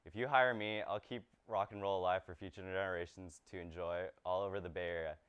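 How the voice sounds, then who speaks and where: A man's voice: sits low, fairly even intonation, a little fast, fairly bright tone, clear, resonant tone. A young man presenting from a small TV studio, delivering scripted lines in an earnest, rehearsed way. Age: young adult. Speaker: student presenter.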